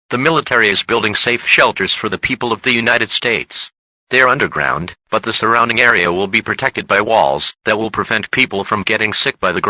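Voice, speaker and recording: A man delivers a scripted emergency announcement in an extremely bright, forceful voice. The recording is dry and close, with the formal wording of an official broadcast alert. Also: lowish voice, no room sound, low-quality recording.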